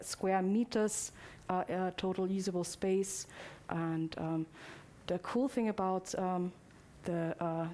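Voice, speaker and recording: A woman's voice: lowish voice, warm tone. A woman giving an informal live guided demonstration in a chatty way, putting playful questions to her audience. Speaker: presenter.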